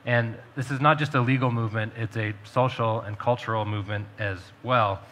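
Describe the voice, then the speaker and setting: Casting: male moderator, fluent and articulate, bright edge to the voice. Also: medium pitch, fairly even intonation, brisk, slight background noise. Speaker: panel moderator. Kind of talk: moderating a panel interview. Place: conference stage.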